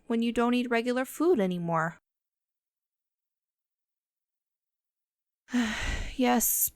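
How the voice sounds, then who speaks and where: A woman's voice: a little high, smooth, dry recording. A woman playing a bossy, protective immortal vampire in an ASMR roleplay, speaking with dry, commanding condescension. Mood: firm, probing. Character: bossy, protective female vampire, immortal, businesslike and dryly menacing. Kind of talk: scripted ASMR roleplay audio, addressing the listener.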